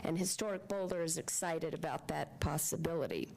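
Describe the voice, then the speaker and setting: A woman's voice: sits low, fairly quick, creaky, a little mellow, poor audio. A woman giving a public comment at a city council meeting, reading from prepared remarks with occasional ums. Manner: reads formally with small fillers. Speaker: public commenter, nonprofit president.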